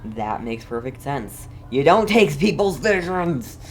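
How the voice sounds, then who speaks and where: A man's voice: fairly high pitch, sing-song, a little slow, slight background noise. A man giving casual playthrough commentary as a gamer, reacting and musing aloud.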